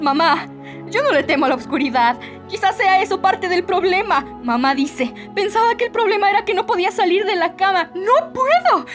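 An anguished young woman with a Latin American accent, acted by a woman in a fan dub, speaks in a very high voice over music. She delivers dramatic spoken-word poetry addressed to her mother. Her manner is emotive and pleading, with dramatic pauses. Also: a bit bright. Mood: anxious, troubled.

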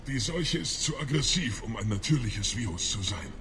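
An actor playing a bitter, plague-sick alien in a video game, speaking gravely and weakly in measured, formal words. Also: male voice, medium pitch, animated, natural voice. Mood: suspicious, weary. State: sick, coughing, weakened. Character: dying plague-sick male alien, bitter, suspicious of humans. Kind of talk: video game dialogue acting. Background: game music.

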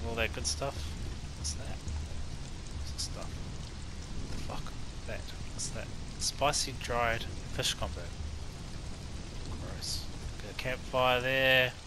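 A man's voice: medium pitch, noisy background. A man from New Zealand with a New Zealand accent, casually rambling and swearing through a game base tour. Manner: laid-back, rambling, swears freely. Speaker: gameplay streamer.

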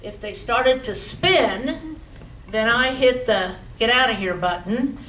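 A woman's voice: a little high, slow, a little hiss or hum. Older woman telling work stories, roomy. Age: 70s. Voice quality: loud voice. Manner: chatty, animated storyteller with gestures. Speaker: guest speaker. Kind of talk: recounting memories to an audience. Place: community museum meeting room, indoors. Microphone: handheld mic, far camera. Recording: roomy, distant camera audio.

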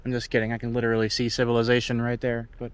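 A man's voice: mid-range voice, racing, clean tone. Chatty young man vlogging on a forest trail. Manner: chatty, joking, relaxed commentary. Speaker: travel vlogger. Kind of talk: casual vlog narration while hiking. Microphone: action camera at arm's length.

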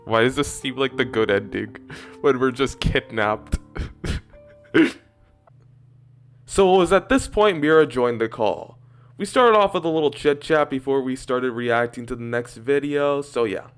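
A man's voice: mid-range voice, expressive, a little slow, smooth, soft background music. A young man hosting a casual reaction stream, talking in a rambling way full of "like", at a desk microphone in a small room. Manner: rambling, filler-heavy, many likes. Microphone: large desk microphone with headset.

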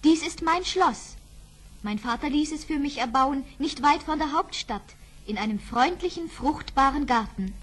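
An actress playing a gentle, poised young princess with a calm, gracious manner and a breathy voice in a fairy-tale radio play. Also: female voice, high voice, moderate pace. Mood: calm, curious.

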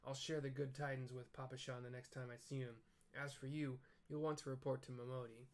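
A man's voice: mid-range voice, level tone, a little fast, close to an everyday voice. Man reading as a hearty, grateful mine boss. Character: grateful, formal male mine boss in fantasy game.

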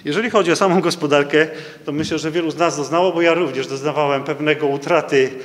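A middle-aged man giving a conference talk at a stand microphone. He reads legal passages aloud in a halting way, with many fillers and restarts. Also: on the high side, fairly even intonation. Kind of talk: conference lecture reading out court rulings. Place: conference hall, indoors, standing at a lectern. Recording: echoey hall, long reverb.

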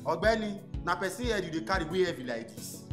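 A man's voice: high-pitched, lively intonation, fast. A young Nigerian man playing a brash, teasing student in a Pidgin radio drama. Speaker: actor. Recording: music under the voice.

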